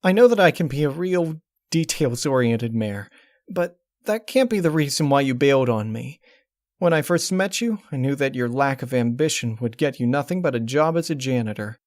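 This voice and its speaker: Guy doing a upset girl pony princess, pitched way up, pleading n controlling, storybook style. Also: animated, moderate pace, a little mellow, no room sound, studio quality, lightly acted. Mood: hurt, critical. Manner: pleading, emotionally strained delivery. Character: distraught, controlling young female pony princess, tearful and critical. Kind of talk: dramatic storybook character reading.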